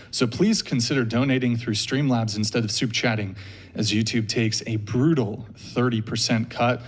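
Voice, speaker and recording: Deadpan dude reading off podcast announcements, quick and dry, normal pitch. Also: male voice. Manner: brisk, deadpan, joking. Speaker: podcast host. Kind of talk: rapid-fire show announcements.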